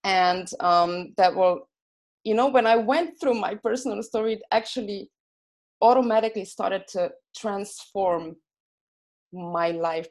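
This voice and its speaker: A woman with a Slovene accent, speaking as a guest coach on a podcast, excited and full of fillers and restarts. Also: medium pitch. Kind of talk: enthusiastic podcast interview.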